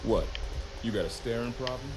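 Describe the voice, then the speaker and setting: A man playing a gruff, suspicious security guard in a video game. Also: medium pitch, normal speed, over music, close to an everyday voice, rough recording. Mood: suspicious, threatening.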